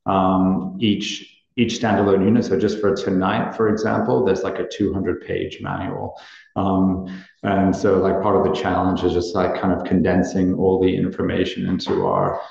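Low gravelly man teaching in an echoey room. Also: a little mellow, dull audio. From Australia and Nepal. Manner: hesitant, many ums and restarts. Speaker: meditation course teacher. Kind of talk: introducing a class to a live group.

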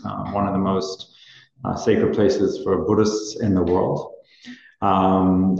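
A man's voice: sits low, vocal fry, a little mellow, very echoey, highs cut off. A man who grew up in Australia and Nepal, introducing a meditation course to a live class, hesitant with many ums and restarts.

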